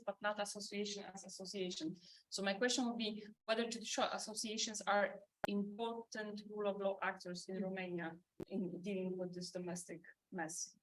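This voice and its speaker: A woman in a conference audience asking a question, halting with fillers. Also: mid-range voice, low-quality recording. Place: conference hall.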